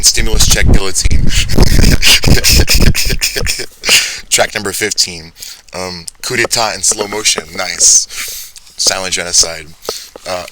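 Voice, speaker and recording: Deep-voiced young man rambling. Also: noisy background. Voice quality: deep baritone. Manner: rambling, swears often, laughs at his own jokes. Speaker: vlogger. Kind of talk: rambling monologue. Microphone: handheld or phone mic, speaking softly.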